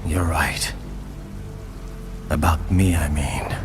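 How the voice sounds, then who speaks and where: An actor playing a grim male cyborg warrior who broods darkly and admits his love of killing, in a video game cutscene. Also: takes it slowly. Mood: brooding. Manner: low, gravelly, brooding, turning to savage shouts. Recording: game cutscene over loud music.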